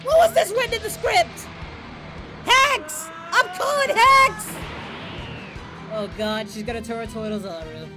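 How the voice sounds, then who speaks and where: A woman voicing an excitable cartoon martial-arts hero, giving brash, crude reaction commentary. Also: very high voice, measured pace, pauses often, over loud music, heavily acted. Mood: indignant. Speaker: reaction channel host.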